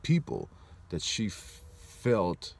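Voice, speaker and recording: A man's voice: lowish voice, wildly swooping pitch, takes it slowly, vocal fry, slightly rough. A man in his forties from Southern California, telling a personal story in a rambling, reflective way and often correcting himself. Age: 40s. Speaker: vlogger.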